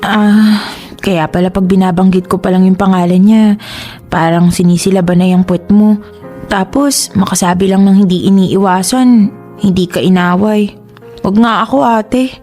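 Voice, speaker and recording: A woman's voice: mid-range voice, fairly even intonation, normal speed, warm tone, close to an everyday voice. A woman with a Filipino accent playing a young, teasing and sulky youngest sister in a radio drama, nagging and wheedling. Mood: nagging, suspicious. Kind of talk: Tagalog radio drama acting. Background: music.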